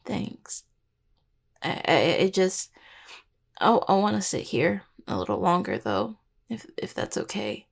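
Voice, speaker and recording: A woman playing a grieving, tender young necromancer in an intimate audio roleplay, speaking haltingly and apologetically to a partner. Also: mid-range voice, big pitch swings, gravelly, slightly rough, heavily acted. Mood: vulnerable, subdued.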